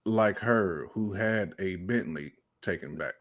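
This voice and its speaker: A man's voice: sits low, takes it slowly, a bit bright. A man with an American accent chatting casually as a podcast panelist, circling back and repeating phrases as he makes his point. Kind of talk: casual chat.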